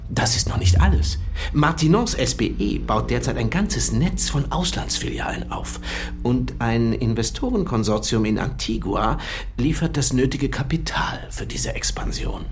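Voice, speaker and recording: An actor playing an eager, dogged police inspector in a crime radio drama, speaking earnestly and insistently. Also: male voice, mid-range voice, expressive, moderate pace, a little hiss or hum, natural voice. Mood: urgent, explaining. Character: eager, dogged male police inspector reporting to his chief.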